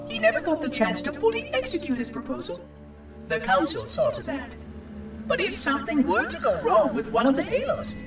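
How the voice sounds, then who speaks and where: An actress playing a solemn, regretful ancient alien chronicler, narrating formally and reflectively in a game cutscene. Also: female voice, high-pitched. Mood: wistful, foreboding. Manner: measured, formal, ruminative. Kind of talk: dramatic scripted game narration. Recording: echoey, distant, under loud music.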